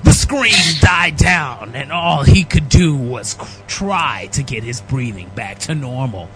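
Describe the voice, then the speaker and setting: A man reading fan fiction aloud as narrator, in a mock-dramatic, theatrical, drawn-out style. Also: medium pitch, expressive, fairly bright tone, faint music behind. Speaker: reader, narrator.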